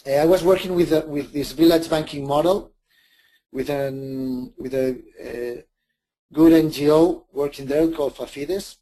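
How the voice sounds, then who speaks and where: A man's voice: a little high, steady tone, a little slow, mellow. Hesitant Spanish-accented man presenting. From Spain. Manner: hesitant, many restarts and self-corrections. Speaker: webinar presenter. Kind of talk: live webinar presentation.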